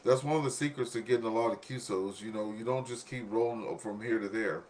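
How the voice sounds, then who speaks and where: A man's voice: on the low side, a little fast, a little mellow, a little hiss or hum. A man hosting an amateur radio net in casual chat, telling stories and acting out exchanges as he goes.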